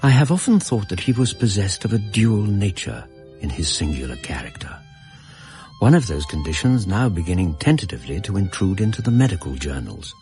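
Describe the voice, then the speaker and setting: Deep-voiced English gentleman narrator. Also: big pitch swings, soft background music. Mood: reflective. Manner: measured, literary, storytelling. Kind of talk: scripted radio drama narration.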